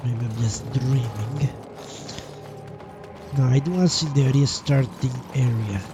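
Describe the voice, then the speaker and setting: A man's voice: mid-range voice, slow, music in the background. A man casually commentating on his own gameplay live, thinking aloud and muttering to himself with mild swearing. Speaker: live game streamer.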